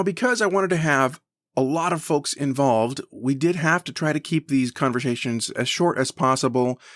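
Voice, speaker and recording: A man's voice: a little high, no echo, studio quality. A man hosting a podcast in a conversational, enthusiastic manner. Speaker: podcast host.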